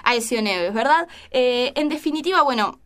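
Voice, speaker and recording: Hesitant analytical woman, fairly high voice. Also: expressive, moderate pace, a bit bright, clean tone. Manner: hesitant, many fillers and restarts. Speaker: political analyst. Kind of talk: conversational political analysis.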